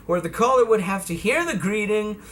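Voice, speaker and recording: A man's voice: sits high, animated, moderate pace, fairly bright tone. A man giving a casual, folksy and playful product demonstration in a homemade video. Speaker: vlogger presenting vintage electronics.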